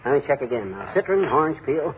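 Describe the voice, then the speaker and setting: A man's voice: mid-range voice, sing-song, fast talker, close to an everyday voice. An actor playing a blustering, stubborn husband in a 1940s radio sitcom, arguing his point and chuckling. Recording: tinny old radio broadcast with loud hiss.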